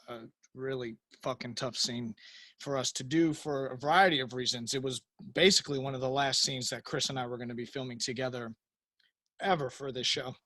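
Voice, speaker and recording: A man reminiscing on a video call in a halting, sincere and reflective way. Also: mid-range voice, bright, forceful tone, dry recording. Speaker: cast member on a reunion panel.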